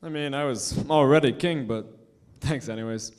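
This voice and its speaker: A young man playing a cocky, self-centered high school boy in a staged reading. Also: moderate pace, darkish tone, close to an everyday voice. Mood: smug. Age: young adult. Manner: cocky, mocking. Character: smug, arrogant teen boy class king.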